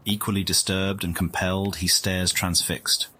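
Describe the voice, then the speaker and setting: A man performing a scripted nature-documentary narration in a game, with a solemn, measured, dramatic delivery. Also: low-pitched, flat delivery, bright, forceful tone, a little hiss or hum. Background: music.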